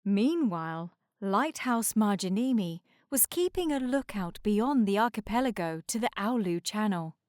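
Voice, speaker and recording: A woman's voice: mid-range voice, lively intonation, moderate pace, few pauses, darkish tone, no room sound. Woman reading a children's storybook, dry sound. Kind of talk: children's storybook read-aloud narration.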